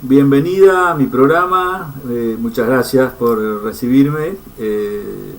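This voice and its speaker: A man's voice: medium pitch, takes it slowly, far from the mic. A man hosting an interview, friendly and hesitant, filling with "eh" and "ujú". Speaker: interview host.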